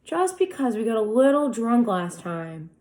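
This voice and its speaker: A woman voicing a smooth, rich teenage boy who speaks in slow, drawn-out pleas. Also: on the high side, sing-song, darkish tone, voice pushed up. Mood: pleading.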